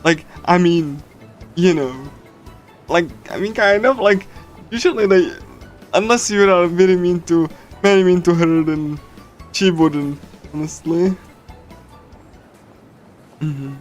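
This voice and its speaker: A man streaming a casual visual novel readthrough, chatting in a halting, giggly, enthusiastic fan's way. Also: high-pitched. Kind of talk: casual livestream chat with loose readthrough. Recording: music playing behind the voice. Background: game soundtrack music.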